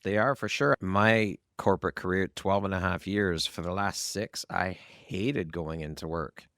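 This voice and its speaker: A man hosts a conversational podcast with a fairly low voice and a lot of gravelly fry. He is candid and informal. The sound is very dry, with no room around it. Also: normal speed, fairly bright tone, dry recording. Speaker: podcast host.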